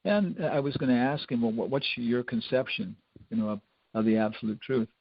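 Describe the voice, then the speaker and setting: Older man, gravelly informal spiritual lecturer. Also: mid-range voice, a little fast, no echo. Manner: rambling, conversational, with wry humour. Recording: dull lo-fi lecture recording.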